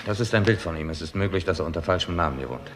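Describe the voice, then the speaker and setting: An actor playing a curt, commanding detective chief in a 1960s German crime radio play, speaking tersely and with authority. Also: male voice, deep voice, racing, a bit bright. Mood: stern, businesslike. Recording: noisy 1960s radio play recording, clicks.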